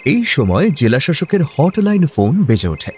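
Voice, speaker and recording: A man's voice: a little high, very animated, unhurried, darkish tone, a little hiss or hum. A man narrating a scripted comedy radio play as a dramatic storyteller.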